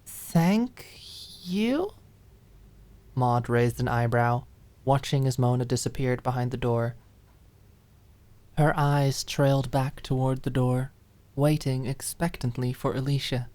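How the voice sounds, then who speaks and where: A woman's voice: rumbling low, little pitch movement, unhurried, mellow, dry recording. A woman reading scripted dramatic narration as an audiobook narrator.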